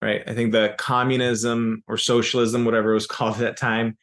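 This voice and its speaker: A man in his forties whose first language is Serbian, answering interview questions in an explanatory way, often pausing on "uh" and restarting phrases. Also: mid-range voice, little pitch movement, fairly bright tone. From raised in Yugoslavia. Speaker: business founder as guest.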